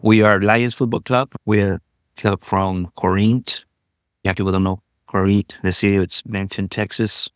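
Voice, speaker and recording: A man from Rica, a youth soccer coach telling his story in an unhurried way, with small restarts. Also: fairly low pitch, fairly even intonation, clean tone. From Costa Rica. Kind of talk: casual interview storytelling.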